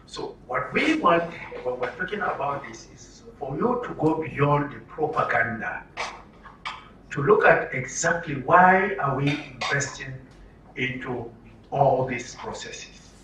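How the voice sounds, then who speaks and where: A man's voice: medium pitch, sing-song, measured pace, fairly bright tone. A man leading a workshop as trainer, speaking in an interactive lecture style with emphatic, rhetorical questioning. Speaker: trainer addressing media participants at an orientation. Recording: roomy echo, distant mic, faint noise.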